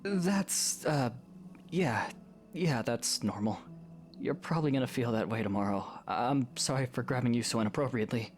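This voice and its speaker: Man as young male hero, medium pitch, lively intonation, gentle, casual, considerate, slightly awkward, audio drama. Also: moderate pace, soft background music, close to an everyday voice. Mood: awkward, reassuring. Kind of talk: fan audio drama acting.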